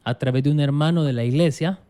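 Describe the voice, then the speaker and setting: A Salvadoran man in his young adult years, speaking as a guest pastor about his own life. His voice sits slightly high yet sounds warm and dark, with a clean, resonant finish. He speaks in a reflective, unhurried way, often circling back to restart a thought. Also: smooth. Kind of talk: casual interview, telling his life story.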